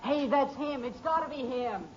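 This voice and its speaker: An actor playing an earnest, stubborn and gullible teenage son in a 1950s radio sitcom, with boyish, stammering protests. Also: male voice, very high-pitched, moderate pace, over music. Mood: excited. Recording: muffled, hissy old radio recording.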